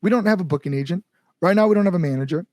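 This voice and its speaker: An American man talks in a casual interview as a band's front-man. His voice sits a little high for a man and is recorded very dry. He speaks earnestly and informally. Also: very fast, darkish tone, dry recording. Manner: earnest, informal with mild swearing.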